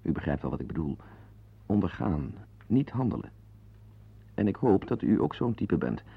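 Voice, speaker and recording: An actor playing a bitter, neurotic, hectoring husband in a radio play, digressive and sardonic, prone to outbursts. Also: male voice, deep voice, very animated, normal speed, vocal fry, breathy edge, heavily acted. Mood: irritated, confiding. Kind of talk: radio play monologue and dialogue acting. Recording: muffled lo-fi old radio play tape.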